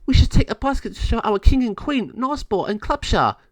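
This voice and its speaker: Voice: medium pitch, sing-song, fairly quick, no echo, pitched above the performer's own voice, close to an everyday voice. A performer voicing an earnest, dutiful young female fairy messenger in a storybook, speaking urgently.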